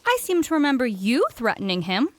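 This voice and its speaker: Young lady of the house played by a woman, radio play mystery, fairly high voice, controlled and polite. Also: big pitch swings, a little fast, dry recording. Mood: defensive. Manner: polite, controlled, a little prim. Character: composed young granddaughter of the house, politely defiant.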